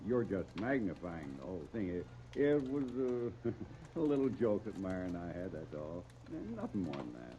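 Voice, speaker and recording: An American actor playing an evasive, fond middle-aged uncle in a 1930s radio serial, on a muffled, noisy old recording. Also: male voice, low-pitched, sing-song, normal speed, mellow. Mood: evasive, amused.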